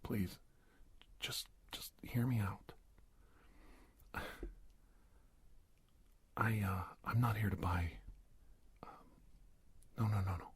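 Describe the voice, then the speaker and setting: A man playing an earnest, hesitant secret-agent doctor in a soft-spoken ASMR roleplay, halting, confiding and gently coaxing. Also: lowish voice, a little hiss or hum, close to an everyday voice. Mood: flustered, pleading.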